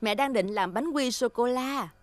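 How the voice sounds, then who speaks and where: A woman voicing a gentle, coaxing mother pig in a children's cartoon dub. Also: a little high, normal speed, lightly acted.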